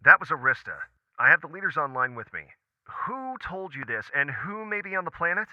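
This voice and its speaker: A man playing a tense, procedural technical controller in a sci-fi audio drama, with a crisp, announcing delivery. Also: fairly high pitch, sing-song, a little fast, no room sound, lo-fi sound. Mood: defensive, urgent. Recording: dry, band-limited radio-like sound.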